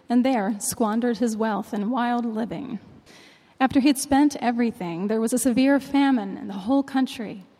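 A woman reading a long Bible passage aloud in church, in a measured, clear way. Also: fairly high pitch, warm tone. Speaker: scripture reader. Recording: long reverb of a large hall.

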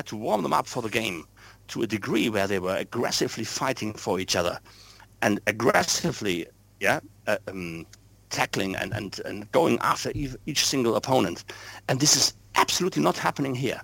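Gravelly German-accented man, earnest. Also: fairly low pitch, animated, a little fast, fairly bright tone, dry recording. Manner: earnest, repeats words while searching for English. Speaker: podcast guest. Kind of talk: casual football chat.

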